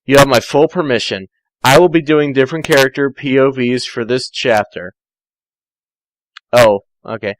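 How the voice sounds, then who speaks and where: A man's voice: medium pitch, normal speed, rough recording. A man reading amateur fan fiction aloud, breaking in with dry, sarcastic asides.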